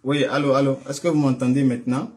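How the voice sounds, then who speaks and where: A man with a West African French accent speaks as the host of a casual religious phone call. His voice sits at a medium pitch. He is a little hesitant and often repeats a phrase before moving on. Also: level tone, fast.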